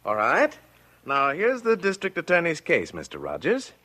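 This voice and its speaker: Shrewd male criminal lawyer, very animated and sharp, slangy, emphatic, old-time radio drama. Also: on the high side, normal speed, exaggerated voice. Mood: brisk, sardonic. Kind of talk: 1940s radio drama acting.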